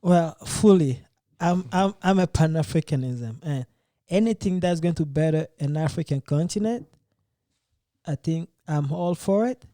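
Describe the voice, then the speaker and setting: A man's voice: a little high, a little slow, dark tone, no echo, studio quality. A man hosting a casual podcast debate, speaking earnestly and hesitantly, often restarting his phrases. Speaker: podcast host.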